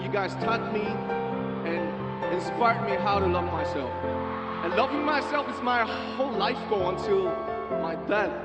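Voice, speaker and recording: High-pitched man, heartfelt speech, repeats lines, long reverb, loud music under him. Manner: earnest, repeats phrases for emphasis. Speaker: performer addressing fans. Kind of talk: emotional speech to a crowd. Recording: echoey arena with loud music.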